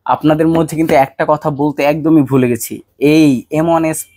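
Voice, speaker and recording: A man with a Bangladeshi accent presenting a product pitch, speaking directly to viewers like a shop salesman. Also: steady tone, normal speed, warm tone, no room sound, clean studio sound. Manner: salesman-like, addresses viewers directly with rhetorical questions. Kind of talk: promotional product pitch to camera.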